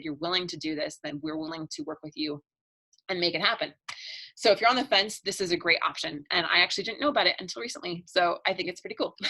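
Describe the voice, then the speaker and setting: A woman marriage coach giving a chatty, upbeat pitch in a live online webinar, laughing between points and losing her voice. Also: gravelly, bright, forceful tone, low-quality recording.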